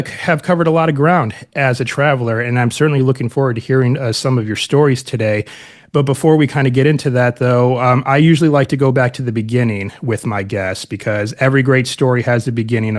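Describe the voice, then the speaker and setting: A man hosting a podcast interview, asking questions in a loose, rambling way full of "uh" and "like". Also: medium pitch. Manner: many fillers, searching phrasing with "like" and "kinda". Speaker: podcast host. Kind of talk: casual interview.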